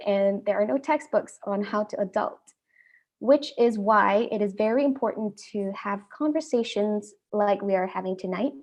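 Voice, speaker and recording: A young adult woman with an Indian accent, hosting an online panel talk in a friendly, measured way with frequent ums. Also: mid-range voice, a little mellow. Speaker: host.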